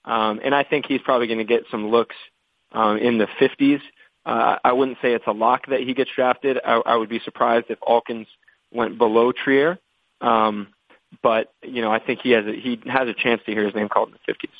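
A thin, phone-band voice with almost no room around it. An American man gives measured, conversational sports analysis on a conference call, at a middling pitch. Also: steady tone, dry recording. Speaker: basketball draft analyst. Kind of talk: media conference call question-and-answer. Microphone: telephone. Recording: tinny, dry phone conference line.

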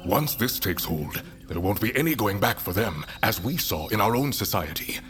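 Low-voiced stern fantasy patriarch. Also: male voice, lively intonation, fairly bright tone. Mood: measured, reasoning. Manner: formal, deliberate, authoritative. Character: stern, measured patriarch ruling a fantasy mountain council. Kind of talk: fantasy audio drama acting.

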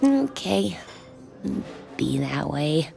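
A teasing, motherly flirt from a small town in an audio drama. She takes her time, with plenty of gravelly creak, over faint music. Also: deep voice, big pitch swings, extremely slow, dark tone, exaggerated voice. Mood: flirty, coaxing. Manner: teasing, coaxing.

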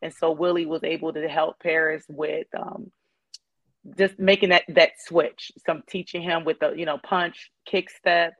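Hoarse woman, flat measured speech. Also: deep voice, no room sound. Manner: measured, frequent ums. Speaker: guest, mother of a player. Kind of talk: casual interview. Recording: dull lo-fi sound.

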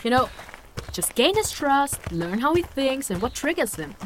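A woman's voice: sits high, very animated, normal speed, background noise. A woman playing a hungry, grumbling human adventurer in a fantasy audio drama, talking in casual modern slang with a whiny, sardonic edge. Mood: wry.

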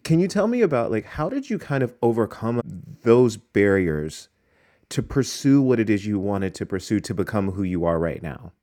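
A man's voice: on the low side, sing-song, normal speed, dark tone. A man hosting a podcast interview, asking eager, casual questions with frequent restarts. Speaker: podcast host.

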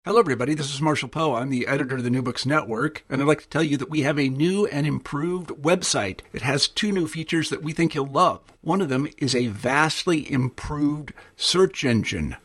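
A man reads a friendly promotional announcement for his podcast network in a plain, unhurried way. His voice is husky and slightly rough. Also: a little high, a bit bright. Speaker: network editor.

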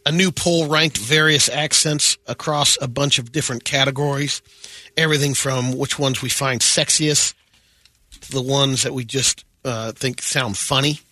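A man's voice: mid-range voice, steady tone, normal speed, pushed and bright, dry recording. A man co-hosting a morning radio show, casually reading out news items between bits of banter.

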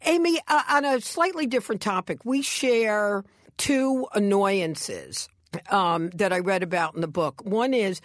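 A woman's voice: medium pitch, a little slow, dry recording, clean studio sound. A woman interviewer speaking conversationally as she talks with an author about a book.

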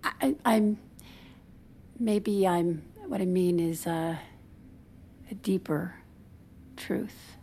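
A woman in middle age playing a restrained, uneasy wife who is gently exasperated, acted naturalistically for audio drama with a hesitant manner. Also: lowish voice, very slow, vocal fry, pauses often, slight background noise, exaggerated voice. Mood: hesitant, probing.

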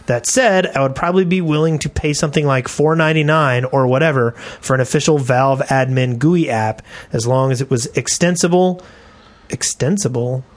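A man's voice: mid-range voice, normal speed. A man co-hosting a podcast, chatting casually and reading listener email aloud. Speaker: podcast co-host.

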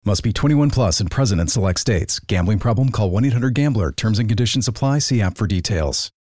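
A man's voice: fairly low pitch, lively intonation, fairly quick, darkish tone, no echo, clean studio sound. A man reading scripted advertising copy as an announcer. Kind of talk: scripted ad read.

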